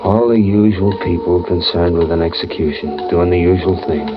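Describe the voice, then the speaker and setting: A man's voice: low-pitched, normal speed, lightly acted. An actor playing a lonely, fearful condemned man, narrating his last hours in plainspoken, brooding first person for a vintage radio drama. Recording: muffled old radio recording with music.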